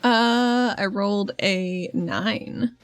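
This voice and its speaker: A woman's voice: medium pitch, extremely slow, clean tone, no room sound, faint music behind. A woman playing a tabletop roleplaying game, casually narrating what her character does.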